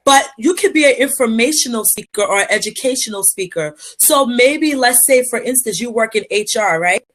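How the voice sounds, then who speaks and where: A woman from Liberia talks as a guest on a podcast, earnest and motivational, sprinkling in fillers and restarting her sentences. Her voice sits a little high for a woman. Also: animated, normal speed, hardly any pauses, a bit bright. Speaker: guest, public speaker. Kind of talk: podcast interview answers.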